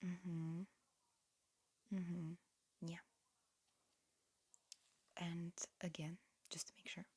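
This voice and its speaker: Slow, whispering ASMR woman. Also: on the low side, flat delivery, husky, no echo. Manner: soft, hushed whispering with slow, careful explanations. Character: calm, attentive alien examiner disguised as a human. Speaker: ASMR roleplay performer playing an alien examiner. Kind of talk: whispered ASMR medical exam roleplay. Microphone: close ASMR microphone.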